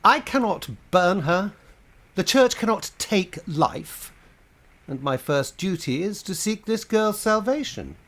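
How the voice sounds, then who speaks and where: A man acting a proud medieval French bishop in a radio play. He speaks with righteous force and indignation, his rhetorical delivery swinging widely in pitch. The zeal is formal and theatrical. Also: lively intonation, slow pace, a bit bright, full character voice. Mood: righteous, scornful. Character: proud, zealous French bishop of the Middle Ages. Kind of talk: stage-style radio drama acting.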